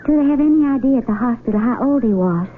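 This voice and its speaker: Earnest, high-voiced compassionate woman. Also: expressive, fast. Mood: curious. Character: compassionate, inquisitive woman questioning a doctor. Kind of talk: 1970s radio drama dialogue. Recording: narrow-band old radio, faint noise.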